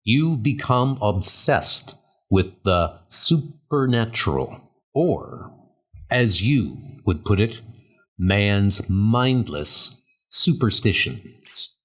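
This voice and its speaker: A man narrating a comic story in a slow, deliberate, portentous style. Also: fairly low pitch, big pitch swings, fairly bright tone. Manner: slow, deliberate, portentous second-person delivery. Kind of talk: dramatic scripted comic narration. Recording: music behind the voice. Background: music.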